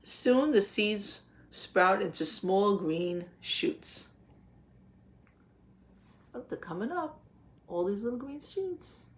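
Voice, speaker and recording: A woman in her thirties, a teacher, reading a picture book aloud to young children and explaining words simply, with gentle questions. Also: mid-range voice, lively intonation, slow, pauses often, a little hiss or hum. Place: small home office, indoors.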